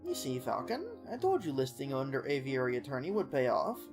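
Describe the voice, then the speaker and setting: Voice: mid-range voice, brisk. A streamer doing a fawning eager assistant. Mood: smug. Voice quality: nasal. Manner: flattering, showy. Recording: music in the background.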